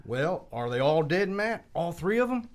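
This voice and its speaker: A man's voice: a little high, sing-song, normal speed. A man with an American accent playing a talkative, concerned frontier town doctor in a western radio drama, folksy and full of questions. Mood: inquisitive. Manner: folksy, exclaiming, prodding with questions.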